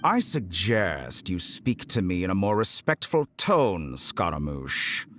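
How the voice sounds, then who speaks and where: A man voicing a cold, condescending male scientist in a video game, slow and threatening. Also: sits low, big pitch swings, a bit bright, faint music behind, exaggerated voice. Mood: menacing. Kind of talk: video game cutscene dialogue.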